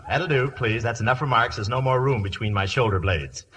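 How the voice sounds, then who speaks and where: An actor playing a cheeky, conceited ham posing as a butler in an old radio comedy, theatrical and wisecracking. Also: male voice, mid-range voice, a little fast, a bit bright, lightly acted. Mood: boastful. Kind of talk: 1940s-1950s radio comedy acting. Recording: old radio broadcast with faint hiss.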